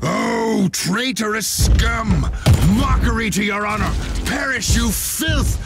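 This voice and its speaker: A man's voice: high voice, slow, loud music behind the voice. A man voicing a grim, zealous, battle-hardened space marine sergeant in a video game, with a sardonic, grandiose delivery. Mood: battle fury.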